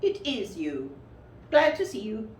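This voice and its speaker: A woman voicing a wary, fussy storybook rabbit who is polite but evasive, speaking in a loud, brisk way. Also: medium pitch, huge pitch swings, voice pushed up. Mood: relieved, chatty. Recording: roomy, distant mic with faint noise.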